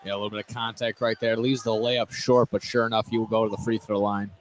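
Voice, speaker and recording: A man's voice: lowish voice, little pitch movement, fast. A man giving conversational color commentary on a live basketball game from inside a gym. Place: indoor high school basketball gym. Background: faint gym noise.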